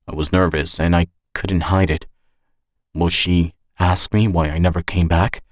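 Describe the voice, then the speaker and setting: A man's voice: sits low, flat delivery, unhurried, no room sound, clean studio sound. A man reading a first-person suspense story as a scripted narration. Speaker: writer and narrator of an audio story.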